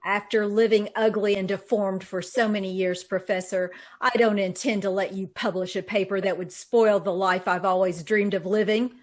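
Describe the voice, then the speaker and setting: A woman playing a cold, scheming murderess in an old-time radio drama, speaking with calm, condescending menace. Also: medium pitch, moderate pace, hardly any pauses, a little hiss or hum, close to an everyday voice. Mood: cold, menacing.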